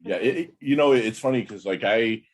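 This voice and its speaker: A man's voice: on the low side, a little fast. A man in his fifties hosting a podcast interview, chatting enthusiastically and rambling with lots of fillers. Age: 50s. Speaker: podcast host. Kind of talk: casual interview chat.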